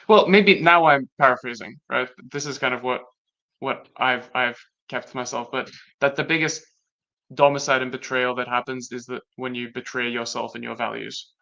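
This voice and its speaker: A young man from Latvia whose first language is Latvian, talking through personal experiences in a casual conversation, reflective and halting with many restarts. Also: mid-range voice, steady tone, gravelly, bright, forceful tone. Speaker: guest. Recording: dull lo-fi sound.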